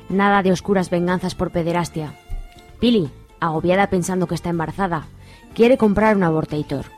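A woman's voice: fairly low pitch, darkish tone. A woman with an accent from Spain, reading scripted narration for a radio serial.

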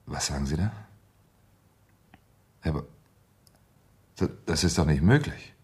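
Deep, husky voice of an uneasy widower. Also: male voice, lively intonation, measured pace, darkish tone, heavily acted. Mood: uneasy, stunned. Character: nervous, evasive widowed husband turned bitter. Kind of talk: radio drama acting.

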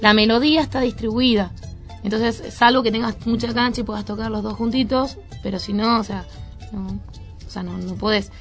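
Argentine woman from around buenos aires, kinda high voice, rambly casual interview chat, faint music behind. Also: fairly even intonation, fairly quick. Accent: Argentine, Buenos Aires area. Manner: rambling, colloquial, peppered with tag words. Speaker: band member, preschool teacher. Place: radio studio.

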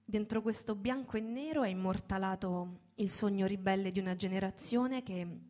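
A woman in her thirties introduces a live theatrical reading in a reflective, halting way, with pauses and repeated words. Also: medium pitch, darkish tone. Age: 30s. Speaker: host and presenter of a live reading.

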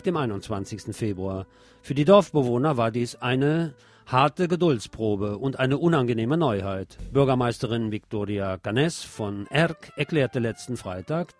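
A man's voice: mid-range voice, expressive, normal speed, a little mellow, soft background music. A man presenting scripted radio news commentary, with opinionated, wry asides.

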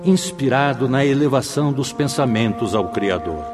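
A Brazilian man acting a solemn, fatherly spirit mentor who addresses an assembly in measured, preacherly radio-drama oratory. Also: mid-range voice, lively intonation, close to an everyday voice. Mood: reverent. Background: music.

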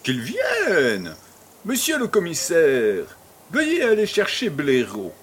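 Man playing a high-pitched, pompous jovial baron. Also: wildly swooping pitch, fairly bright tone, exaggerated voice. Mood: genially bossy. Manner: pompous, ceremonious, deliberate. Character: pompous, jovial male baron hosting a charity fête. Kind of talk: French audio drama acting.

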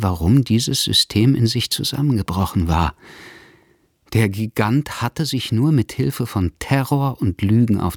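An actor playing a writer who narrates a literary radio play in a grave, measured, suspenseful manner. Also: male voice, fairly low pitch, dry recording, studio quality. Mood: reflective. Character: grave male writer narrating his story. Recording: clear music behind.

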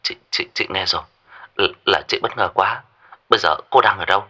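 A man's voice: low-pitched, fairly quick, bright, forceful tone, husky, exaggerated voice. A man voicing a concerned older sisterly woman on a phone in a story, questioning, stammering when surprised. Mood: astonished.

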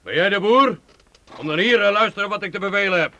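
An actor playing an arrogant, hot-tempered medieval knight in a radio play, imperious and blustering. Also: male voice, high-pitched, a little fast, bright, forceful tone, full character voice. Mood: haughty, scornful. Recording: faintly noisy old radio play recording.

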